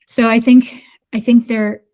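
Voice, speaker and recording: A woman's voice: fairly high pitch, level tone, measured pace, mellow. A woman in casual podcast conversation, speaking thoughtfully and hesitantly with many fillers. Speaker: podcast guest.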